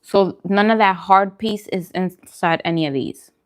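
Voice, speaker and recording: A woman's voice: on the low side, unhurried. A woman hosting a food channel, chatting casually and informally with viewers during a mukbang livestream.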